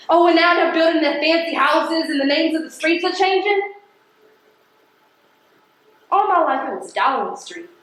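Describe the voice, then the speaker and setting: Actress doing a bitter working class mail carrier woman, high voice, stage play, super echoey. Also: a bit bright, full character voice. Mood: bitter, defiant. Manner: emphatic, colloquial, confrontational. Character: defiant, embittered working-class woman postal carrier from the projects. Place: theatre stage.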